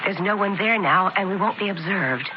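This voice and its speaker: A woman's voice: medium pitch, normal speed, over loud music, natural voice. An actress playing an imperious, scorned young Roman noblewoman in an old-time radio drama. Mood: scornful, plotting. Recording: noisy muffled old radio recording.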